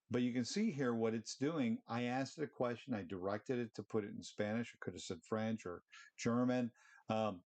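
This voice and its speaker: A middle-aged man giving a casual, conversational software demo, talking through each step with easy fillers. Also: medium pitch. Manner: relaxed, conversational, fillers like "you know" and "um". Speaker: presenter of short tech tips.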